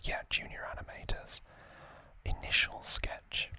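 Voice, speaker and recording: A man hosting an ASMR video, whispering throughout as he explains things off the cuff, with thoughtful, hesitant pauses. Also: bright, forceful tone, dry recording. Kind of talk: whispered ASMR, unscripted explanatory unboxing talk.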